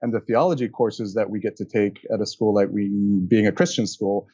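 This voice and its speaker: Male guest from Singapore, 30s, measured and thoughtful, somewhat lo-fi remote sound. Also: on the low side, steady tone, warm tone, dry recording. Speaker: guest, conservation director. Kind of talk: casual interview answers.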